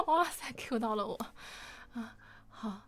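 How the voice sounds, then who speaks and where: A woman's voice: a little high, huge pitch swings, takes it slowly, fairly bright tone, slightly rough. A woman hosting a casual interview chat in a playful, giggly manner. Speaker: host.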